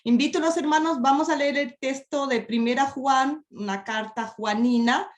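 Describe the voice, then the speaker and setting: A woman's voice: high voice, moderate pace, roomy sound. A woman from Brazil with a Brazilian Portuguese accent, a native Portuguese speaker, preaching a sermon in a second language with careful, hesitant phrasing and emphatic questions.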